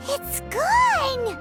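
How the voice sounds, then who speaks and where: A woman voicing a tiny, childlike fairy companion in a video game, squeaky, curious and excitable. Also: full character voice. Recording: prominent music behind the voice.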